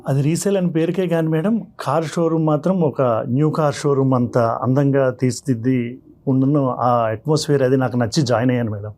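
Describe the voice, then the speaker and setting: A man's voice: medium pitch, fast, mellow. A man from Visakhapatnam giving an earnest, respectful personal account as a guest on a talk show. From Visakhapatnam, India. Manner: respectful, frequently addressing hosts as sir or madam. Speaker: guest telling his problem on a counselling show.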